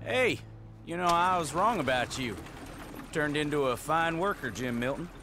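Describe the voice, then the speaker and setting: An actor playing a friendly, frank ranch worker in a western video game. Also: male voice, a little high, expressive, normal speed, music in the background, lightly acted. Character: friendly, plain-spoken male ranch worker of the Old West. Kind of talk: video game cutscene acting.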